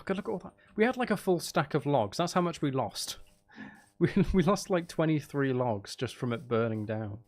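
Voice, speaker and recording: Guy streaming a game and talking to chat, gravelly fry in his voice, kinda rambly, mutters to himself. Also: mid-range voice. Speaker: streamer and audio creator chatting with viewers. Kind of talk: casual livestream gameplay chat.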